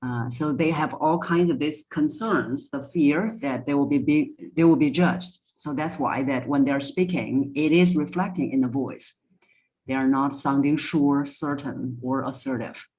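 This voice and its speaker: A woman's voice: rumbling low, a little slow, darkish tone, poor audio. A woman speaking as a guest voice coach, explaining her points in an interview.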